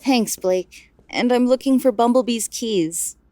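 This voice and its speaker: A woman playing a scatterbrained, earnest young huntress in an audio drama, speaking in an expressive, informal, hesitant way. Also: on the high side, measured pace, lightly acted. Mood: flustered, casual. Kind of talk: fan audio drama character acting.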